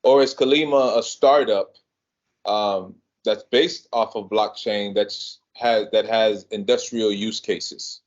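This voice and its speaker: A man's voice: on the low side, fairly even intonation, takes it slowly. A man hosting a podcast, asking direct conversational questions. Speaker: podcast host, interviewer. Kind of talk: interview questions. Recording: slightly dull podcast audio.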